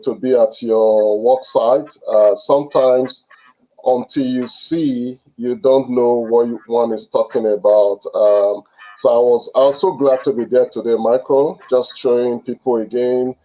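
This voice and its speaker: A man hosts an interview over a video call, talking slowly and haltingly with plenty of fillers. His voice is mellow and soft-edged. The sound is that of a call. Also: mid-range voice, fairly even intonation, low-quality recording. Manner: halting, many fillers and restarts. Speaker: host. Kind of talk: online interview.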